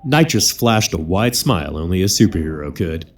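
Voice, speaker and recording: A man's voice: low-pitched, very animated, normal speed. A man narrating a scripted comedy audio drama in a wry, crude manner. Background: loud music with guitar.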